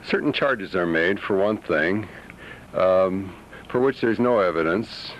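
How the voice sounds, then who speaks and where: A middle-aged American man answering an interview carefully, with deliberate hesitations. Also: sits low, expressive. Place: outdoors by a brick building. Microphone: handheld reporter's microphone. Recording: muffled, noisy 1970s newsreel sound.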